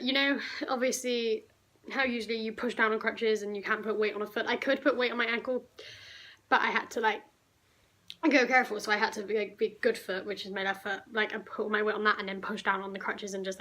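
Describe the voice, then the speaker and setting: Croaky teenage girl rambling. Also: mid-range voice, bright, forceful tone. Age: teens. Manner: rambling, apologetic, indignant. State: croaky from a cough. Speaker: vlogger. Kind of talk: storytime vlog.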